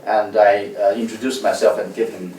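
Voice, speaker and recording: A man gives a university lecture as a guest lecturer, telling stories in an animated way with many fillers and restarts. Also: lowish voice, normal speed, slight background noise. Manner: lively storytelling, frequent fillers and restarts. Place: lecture hall. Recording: echoey hall, distant mic.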